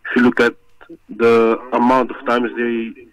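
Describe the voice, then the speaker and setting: A man from the Netherlands calling in to a football show, making his case in a calm, measured way. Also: mid-range voice, rough recording. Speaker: caller. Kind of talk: phone-in call.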